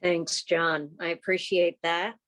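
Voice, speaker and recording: A woman's voice: lowish voice, little pitch movement, slow pace, fairly bright tone. A woman chairing an informal club meeting over a video call, chatty and rambling with wry asides.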